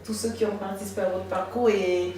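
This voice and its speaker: A woman's voice: mid-range voice, steady tone, fairly quick, mellow. Cameroonian woman hosting an interview. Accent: Cameroonian French. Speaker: host. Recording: echoey room, distant mic, faint music.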